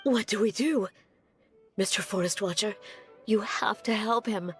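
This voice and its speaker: A woman's voice: mid-range voice, unhurried, faint music behind, close to an everyday voice. A woman voicing an anxious village mother pleading for help. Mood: worried.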